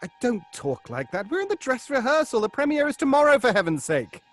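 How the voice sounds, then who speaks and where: Animated, exasperated stage man. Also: very high-pitched, brisk, faint music behind, full character voice. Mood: indignant. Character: exasperated male stage actor in rehearsal. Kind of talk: horror audio drama acting.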